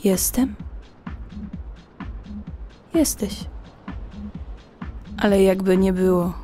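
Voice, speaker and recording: A woman's voice: mid-range voice, extremely slow, warm tone, soft background music. An actress playing a hurt young woman pleading with a distant loved one, reciting poetry emotively in an audio drama. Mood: wounded, reproachful.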